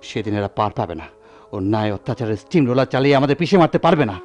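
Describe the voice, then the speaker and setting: An actor playing an idealistic, educated village doctor, formal and earnest, in a radio drama. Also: male voice, mid-range voice, lively intonation, very fast, darkish tone, natural voice. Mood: defiant.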